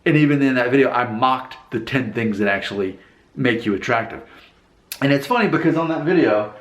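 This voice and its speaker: A man's voice: medium pitch, lively intonation, fairly quick, dull audio. Candid man talking to camera, echoey room. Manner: candid, emphatic, preachy asides. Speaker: YouTube channel host. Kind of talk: casual talking-to-camera monologue.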